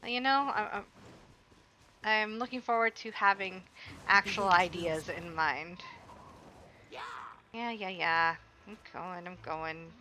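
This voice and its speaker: Bright-voiced woman streamer chatting. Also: lowish voice, takes it slowly, pauses often, slight background noise. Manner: rambling, talks back to the game as she plays. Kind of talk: casual chat while gaming.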